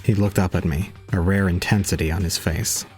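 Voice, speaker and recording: A man playing a detached, resigned young student, narrating solemnly and calmly in an audio drama. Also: deep voice, a little fast, faint music behind, close to an everyday voice. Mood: solemn, pitying. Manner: solemn, calm, unemotional. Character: detached, resigned young male student recounting his own ordeal. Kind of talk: scripted first-person audio drama narration.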